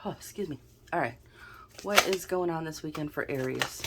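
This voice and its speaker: A woman's voice: low voice, moderate pace, heavy background noise. A woman hosting a casual tarot card reading, chatty and thinking aloud, sounding a little snuffly and stuffed-up. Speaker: tarot reader, channel host.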